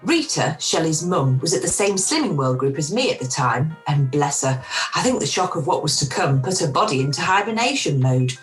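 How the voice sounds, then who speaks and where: A woman's voice: low voice, very animated, moderate pace, soft background music, poor audio. A woman playing a wry, hospitable pudding club hostess with a theatrical manner in an amateur radio play. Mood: wry, gossipy.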